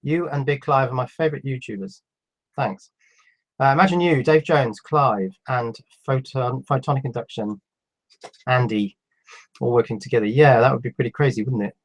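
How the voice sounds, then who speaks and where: A man's voice: medium pitch, rough recording. Fifty-something man with a cold, chatting casually. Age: 50s. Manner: reads viewer questions aloud, then answers them in a relaxed, halting way. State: has a cold. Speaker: electronics YouTuber answering live chat. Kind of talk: casual live stream chat.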